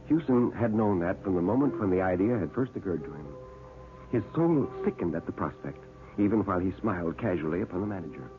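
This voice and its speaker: A man narrating a horror tale in classic radio style, deliberate and full of dramatic suspense. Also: low-pitched, lively intonation, over music. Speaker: storyteller and narrator. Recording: muffled narrow-band old radio recording.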